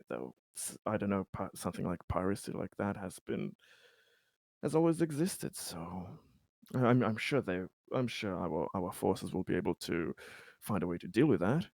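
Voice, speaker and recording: A man playing a polite, hesitant company man in a sci-fi tabletop game, speaking in halting, repetitive, formal phrases. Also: lowish voice, creaky, a little mellow, no echo. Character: Conrad, polite, hesitant, optimistic company man at a space colony. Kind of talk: tabletop roleplay in character.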